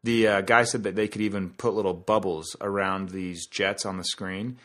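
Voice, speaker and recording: Guy with a lowish voice doing a casual solo podcast, chatty, explains stuff with analogies and little asides. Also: fairly even intonation, normal speed, fairly bright tone. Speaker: podcast host. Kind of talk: casual conversational monologue.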